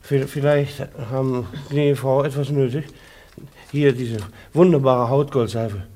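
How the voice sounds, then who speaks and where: An actor playing a timid, needy husband turned soap peddler in a radio drama, hesitant and uneasy. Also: male voice, mid-range voice, steady tone, measured pace, dark tone, lightly acted. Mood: nervous, apologetic. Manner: hesitant stammering when speaking to others. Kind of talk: radio drama narration and dialogue.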